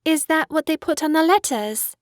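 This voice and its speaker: A woman's voice: high-pitched, moderate pace, dry recording, studio quality, close to an everyday voice. A woman playing a polite, motherly little girl in a full-cast audiobook, with a prim and courteous manner.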